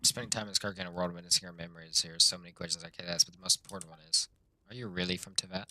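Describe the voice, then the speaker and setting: A man's voice: low-pitched, steady tone, very fast, bright, forceful tone. A man live streaming a game, casually commenting and reading dialogue aloud.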